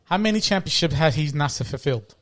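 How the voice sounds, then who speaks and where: A man with a fairly high-pitched voice argues sports as a podcast co-host. He is combative and emphatic, and he swears casually as he makes his case. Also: lively intonation, a little fast. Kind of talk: heated casual sports debate.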